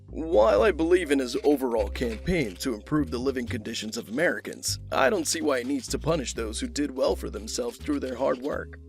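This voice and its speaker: An actor playing a wealthy, guarded, dry-witted man in an audio drama, speaking in terse, measured lines. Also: mid-range voice, expressive, vocal fry, soft background music. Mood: guarded, polite.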